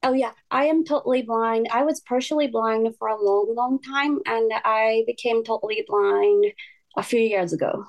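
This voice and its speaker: A woman's voice: fairly high pitch, moderate pace, rough recording. A woman in her twenties from Japan, speaking English with a Japanese accent as a podcast guest, hesitant and searching for words. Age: 20s. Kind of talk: casual podcast interview.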